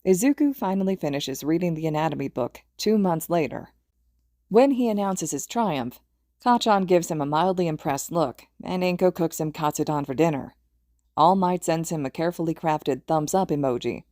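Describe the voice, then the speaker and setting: A woman's voice: sits low, lively intonation, normal speed, mellow, no room sound, clean studio sound. A woman reading a story aloud as a scripted audiobook narration. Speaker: podfic narrator.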